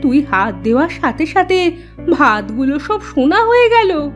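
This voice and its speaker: A woman's voice: sits high, very animated, measured pace, soft background music. A woman voicing a poor village mother in a cartoon, with a plain motherly manner. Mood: amazed, relieved.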